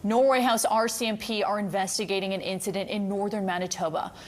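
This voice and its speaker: A woman's voice: medium pitch, fairly even intonation, fast. A woman presenting scripted morning news as a broadcast anchor. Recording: loud music behind the voice. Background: loud music.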